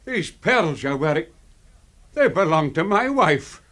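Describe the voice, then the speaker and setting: A man's voice: a little high, big pitch swings, takes it slowly, fairly bright tone, full character voice. An actor playing a dignified older father, formal and weary with biting sarcasm, in a radio drama. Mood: stern, bitter. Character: bitter, dignified older father finally asserting himself.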